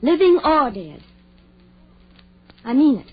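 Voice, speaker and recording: Devoted wife, earnest, highish animated voice, old-time radio acting, lo-fi broadcast recording. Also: female voice, slow, faint music behind, heavily acted. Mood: fervent. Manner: earnest, emphatic. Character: devoted scientist's wife, fervent, fearless believer in his work. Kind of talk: 1940s radio horror acting. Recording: muffled vintage 1940s radio recording.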